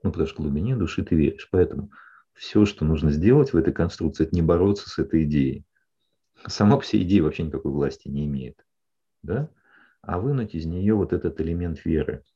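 A male psychologist calmly explaining ideas to a client in a session, often checking in with short questions. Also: sits low, quick pace, breathy edge, muffled recording. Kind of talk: counseling session.

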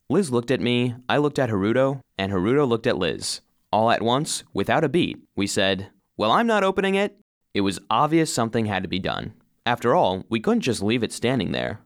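A man's voice: lowish voice, dry recording, studio quality. A man playing an earnest, loyal little robot vacuum cleaner who narrates a children's audio story. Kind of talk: scripted first-person children's story narration.

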